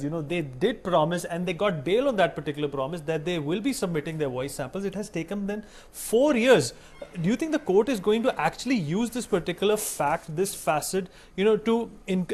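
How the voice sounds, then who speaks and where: High-pitched Indian man hosting news debate. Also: a little mellow.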